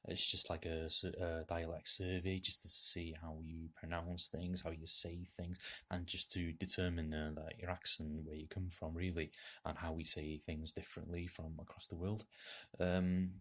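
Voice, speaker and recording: A man's voice: low-pitched, little pitch movement, moderate pace. A young man from North Wales, a native Welsh speaker with a North Welsh accent, answering accent tag questions at home in a hesitant way, with many ums while he reads off a laptop. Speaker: YouTuber.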